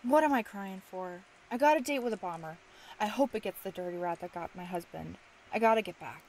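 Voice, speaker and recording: A woman's voice: mid-range voice, brisk, lightly acted. A woman playing a tough, grieving young factory widow in an old-time radio drama, brusque, slangy and impatient. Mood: bitter, resolute. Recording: noisy amateur radio play recording.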